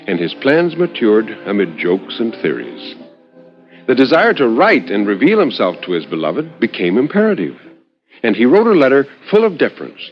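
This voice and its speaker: A man reading a literary story as a 1950s radio narrator, measured and expressive, lingering on phrases with dramatic pauses. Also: medium pitch. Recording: dull vintage 1950s radio recording.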